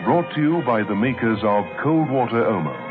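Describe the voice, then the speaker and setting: A man's voice: mid-range voice, very animated, moderate pace. A man announcing a vintage radio show and its sponsor in a theatrical announcer style. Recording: tinny old radio over loud music.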